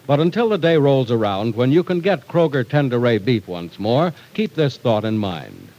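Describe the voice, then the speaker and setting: A man's voice: mid-range voice, darkish tone, rough recording. A man announcing in scripted 1940s radio style, with emphatic, polished delivery for sponsor copy and narration.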